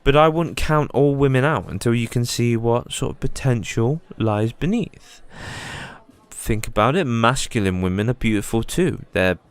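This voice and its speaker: A man with a clear, smooth voice at an ordinary middle pitch. He talks in a loose, rambling way, candid and full of swearing, as if giving a friend his honest take one-on-one. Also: expressive, moderate pace. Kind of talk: casual one-sided monologue to a friend.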